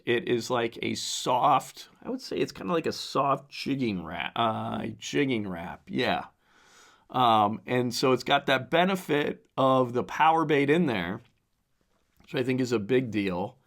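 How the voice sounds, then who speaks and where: Man, podcast host, hoarse cracking voice, casual rambling, fillers, reading aloud. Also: medium pitch, animated, unhurried, fairly bright tone. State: hoarse, strained voice. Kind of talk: casual solo podcast commentary.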